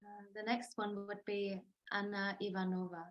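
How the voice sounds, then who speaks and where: A woman co-host quietly calling on participants during an online seminar. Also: medium pitch, level tone, normal speed, lo-fi sound. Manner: quiet, brief announcements. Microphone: video call.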